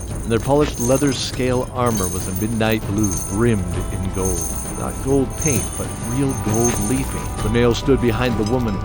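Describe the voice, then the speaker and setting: Male fantasy narrator over loud music. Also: lowish voice.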